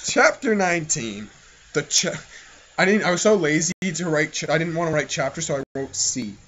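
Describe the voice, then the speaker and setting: A man's voice: fairly high pitch, expressive, moderate pace, fairly bright tone. A giggly, self-mocking, foul-mouthed man hosting a podcast, reading his own childhood fan fiction aloud with casual banter. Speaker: podcast host. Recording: muffled, noisy home podcast recording.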